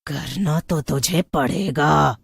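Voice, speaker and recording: Casting: female actor for vengeful dancing witch ghost, gravelly, slow and commanding, theatrical horror cartoon delivery. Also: low-pitched, no echo, exaggerated voice. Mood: taunting, commanding. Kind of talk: dramatic horror cartoon story acting.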